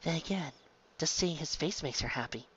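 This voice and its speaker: A woman voicing a calm, dry, composed adult woman pirate from a cartoon. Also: sits low, normal speed, dull audio, a little hiss or hum, close to an everyday voice. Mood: calm, curious.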